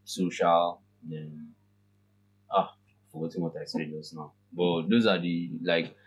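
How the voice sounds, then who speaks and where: A man, a podcast guest in casual chat, thinking aloud with pauses and frequent laughs. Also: sits low, flat delivery, breathy edge, rough recording. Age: 20s.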